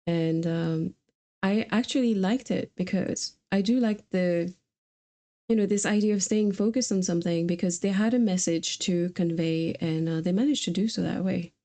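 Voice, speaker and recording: A woman's voice: lowish voice, normal speed, dark tone. A woman streamer chatting casually about video games in a conversational, easygoing way. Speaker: streamer host.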